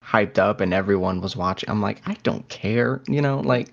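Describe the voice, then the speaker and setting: Low-voiced man chatting, dull audio. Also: normal speed, gravelly. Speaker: podcast co-host. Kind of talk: casual chat.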